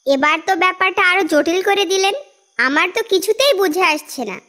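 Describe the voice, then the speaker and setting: Woman acting a bright, very high-voiced doubting housewife. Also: fairly quick. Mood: doubtful. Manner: questioning, plaintive. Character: poor, doubting, plain-spoken young housewife. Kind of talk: animated cartoon dialogue in Bengali. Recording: music behind the voice.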